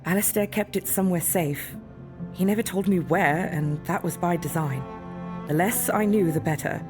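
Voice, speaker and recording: A woman's voice: fairly low pitch, normal speed, music in the background, natural voice. Woman playing guarded, anguished imprisoned mother. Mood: grave, urgent. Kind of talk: audio drama acting.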